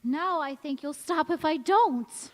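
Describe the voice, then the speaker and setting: A woman plays an anguished, devoted young wife in a radio drama. Her voice sits fairly high and moves very slowly, with earnest melodrama. It rings through a reverberant hall with music behind. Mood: anguished, pleading. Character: anguished, devoted young-looking wife cursed never to age. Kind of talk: stage radio-play acting. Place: large reverberant church or hall.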